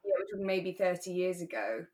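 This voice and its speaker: A woman's voice: on the low side, expressive, a little fast, darkish tone. A woman chatting casually as a podcast co-host, recapping a radio drama in a conversational way. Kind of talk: relaxed chat discussing a radio drama.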